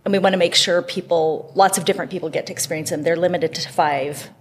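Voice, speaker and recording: A woman's voice: on the low side, fairly even intonation, fast, slight background noise. A woman guest explaining library items in a casual, enthusiastic interview style.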